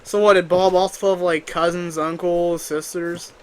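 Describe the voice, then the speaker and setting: A man chatting casually with friends over a game, excitable, giggly and quick to swear. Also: high voice, clean tone. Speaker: let's play gamer. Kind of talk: casual chat with friends while gaming.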